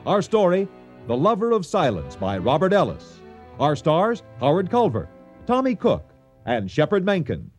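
A male announcer presents a radio theater show. His voice is fairly high and sweeps up and down dramatically. Faint music runs under the scripted delivery. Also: huge pitch swings, moderate pace.

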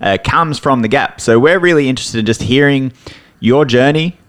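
A man co-hosting a podcast, upbeat and quick to laugh. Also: mid-range voice, a bit bright. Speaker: podcast co-host. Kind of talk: casual podcast chat.

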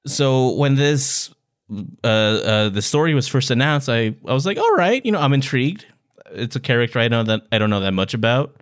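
A man with a medium-pitched voice talks as a podcast co-host. His delivery is casual and playful, in the loose style of an informal book discussion. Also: big pitch swings, moderate pace.

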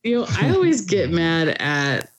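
A woman's voice: lowish voice, very animated, normal speed, music in the background. Sarcastic, laughing podcast woman. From California. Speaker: podcast co-host. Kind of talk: casual chat.

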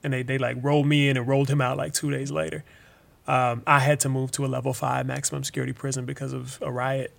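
An actor playing a weary but forgiving wrongfully imprisoned young man in an interview-style drama monologue, halting and informal, repeating words. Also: mid-range voice, level tone, vocal fry. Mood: hesitant, reflective.

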